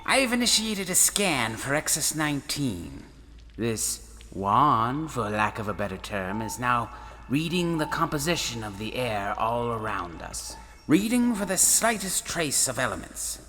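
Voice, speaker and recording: An actor playing an eccentric, curious professor and space traveller in a sci-fi audio drama, speaking in a measured, musing, theatrical way. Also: male voice, mid-range voice, sing-song, pushed and bright, faint music behind. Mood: absorbed, musing. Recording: long reverb, faint background noise.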